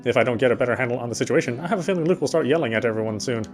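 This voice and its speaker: A man reading first-person visual novel narration quickly, with frequent slips and corrections. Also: medium pitch, faint music behind.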